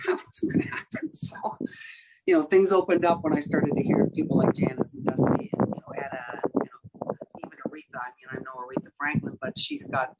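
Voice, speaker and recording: A woman singer in her sixties with a rough voice, talking in a casual interview, halting with many fillers and restarts. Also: low voice, noisy background.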